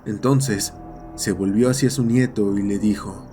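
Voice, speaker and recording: A man's voice: fairly low pitch, steady tone, unhurried, warm tone, soft background music. A man reading a scripted horror story as its narrator.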